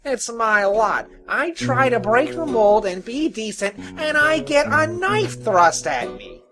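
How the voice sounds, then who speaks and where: A storyteller voicing a tiny, timid tinker, a grumbling little man who pleads and stammers in a small, high voice. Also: sing-song, takes it slowly, over music. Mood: vexed, aggrieved.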